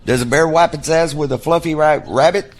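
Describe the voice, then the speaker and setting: An actor playing a folksy, crude, wisecracking buddy in an audio drama, blunt and game for a fight. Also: male voice, on the high side, fairly quick, natural voice. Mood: joking.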